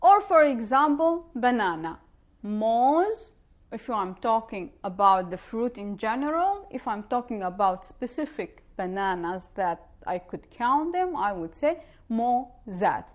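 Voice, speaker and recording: Woman, Levantine Arabic accent, native Arabic speaker, language teacher, slightly high pitch, highly varied intonation, explanatory with examples. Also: sing-song, a little slow. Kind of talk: informal language lesson to camera.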